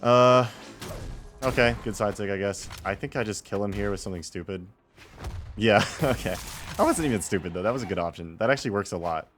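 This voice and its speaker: A man with a low voice commentates his own video game replay. He speaks casually and off the cuff, rambling through his thoughts with wry self-deprecation. Music plays clearly behind his voice. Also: brisk, a bit bright.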